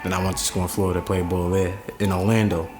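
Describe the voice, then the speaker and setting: A young man with a New York accent, a podcast guest telling stories in a relaxed, slangy way. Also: low voice, fast talker, over music. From Staten Island, New York. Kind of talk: casual interview chat.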